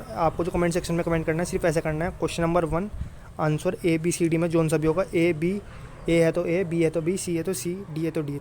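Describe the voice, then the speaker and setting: A man's voice: a little high, a little mellow. A man giving informal exam coaching, often checking in with listeners in a familiar, brotherly way. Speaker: exam prep teacher. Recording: clear background noise.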